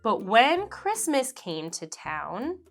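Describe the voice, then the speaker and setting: A woman reading a children's picture book aloud, slowly and expressively. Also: fairly high pitch, soft background music. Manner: slow, expressive, animated character voices. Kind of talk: read-aloud storybook narration.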